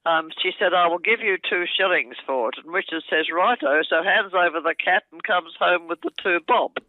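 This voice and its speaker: A woman's voice: fairly low pitch, normal speed, dry recording. Older Australian woman on a tinny phone line. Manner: chatty, rambling, laughs often, loud voice. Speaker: aunt recalling family stories on a phone call. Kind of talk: casual phone chat, storytelling. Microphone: telephone.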